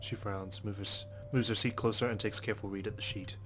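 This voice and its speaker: Fast-talking man with a low, even voice. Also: slight background noise. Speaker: let's player. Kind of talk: reading a visual novel aloud with casual commentary.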